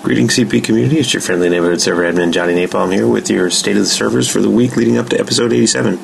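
A man's voice: lowish voice, rapid-fire, gravelly. A man giving a scripted community update as a game server admin, in a noisy recording.

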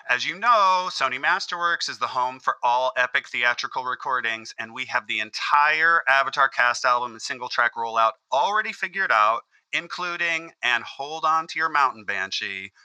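Guy playing a salesy label rep, bright and smooth, dry close mic. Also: mid-range voice, no echo, poor audio. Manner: slick, salesy, persuasive. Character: slick record label salesman. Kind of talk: voicemail message.

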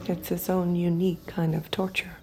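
A haunted, reluctant killer of an artificial woman played by an actress narrates her inner life in an audio drama. Her low voice is gravelly and soft-edged, quiet and breathy, resigned and close. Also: level tone, normal speed, soft background music, natural voice. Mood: numb, bitter. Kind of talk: dramatic first-person audio fiction narration.

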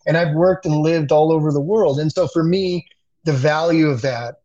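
Male guest from the Dominican Republic, earnest, restarts often, slightly high voice, muffled remote audio. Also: normal speed, warm tone. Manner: earnest, frequent restarts and repeated words. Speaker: communications expert guest. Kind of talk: political interview conversation.

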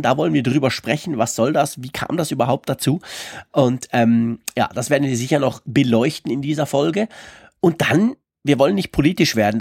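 Man, hoarse from a cold, chatty podcast co-host, dry close mic. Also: medium pitch, no room sound. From Switzerland. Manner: chatty, animated, colloquial. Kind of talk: casual tech podcast chat.

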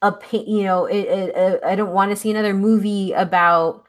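A woman's voice: mid-range voice, fairly even intonation, brisk. A woman from Texas in casual conversation, earnest and thoughtful, often restarting her sentences with "like". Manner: earnest, frequent "like" fillers and restarts.

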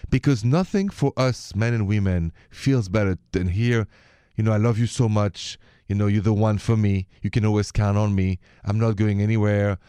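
A man with a French accent and a fairly low voice hosts a relationship call-in show. He talks conversationally and addresses the listener directly. The sound is dry, with very little room around the voice. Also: normal speed, mellow, clear, resonant tone, dry recording. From Paris, France. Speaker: radio host giving relationship advice. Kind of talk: conversational call-in radio talk.

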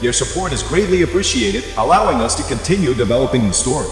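A man reading podcast credits and closing announcements in a measured scripted announcer style. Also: mid-range voice, lively intonation, heavy reverb, big-room sound, soft background music, low-quality recording.

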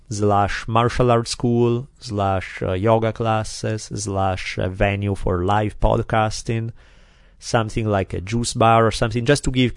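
An Italian-accented man hosts a podcast in casual chat. His voice sits low and he wanders through his points with plenty of ums. The studio sound is close and dry. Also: dry recording. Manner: chatty, rambling with many fillers. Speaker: podcast host. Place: quiet recording studio.

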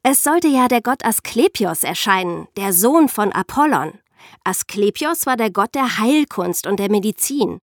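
A woman's voice: mid-range voice, no echo, clean studio sound. A woman presenting a podcast, explaining history in a conversational storytelling style and addressing her listeners directly.

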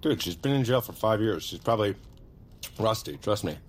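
A man's voice: lowish voice, lively intonation, normal speed, a little hiss or hum, close to an everyday voice. An actor playing a dry, confident, nitpicking man on a stakeout in a naturalistic audio drama. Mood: dry, exasperated. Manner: casual, dry.

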